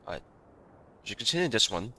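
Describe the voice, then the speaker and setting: A man giving casual, offhand gameplay commentary in a halting mutter, reading choices aloud. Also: fairly low pitch, very animated, pushed and bright, slightly rough.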